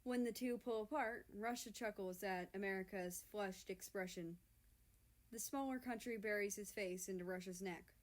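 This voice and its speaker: A woman's voice: mid-range voice, moderate pace. A woman reading a fan-written story aloud as its narrator.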